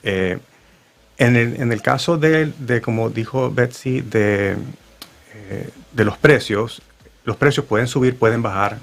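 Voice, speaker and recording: A man's voice: medium pitch, moderate pace, gravelly. A man talking as a real estate agent on a radio morning show, with many fillers and restarts. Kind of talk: radio talk show guest. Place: radio studio.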